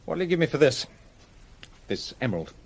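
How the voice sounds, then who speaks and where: An actor playing a bitter, suspicious ex-convict who talks in a blunt, threatening way in a radio drama. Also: male voice, a little high, sing-song, fairly quick, creaky, heavily acted. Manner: blunt, intense, confrontational.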